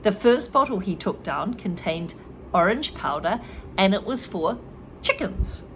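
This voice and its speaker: A woman's voice: mid-range voice, expressive, a little slow, fairly bright tone, a little hiss or hum. A woman reading a children's story aloud and stopping to explain English words and expressions to learners. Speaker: reader and English teacher.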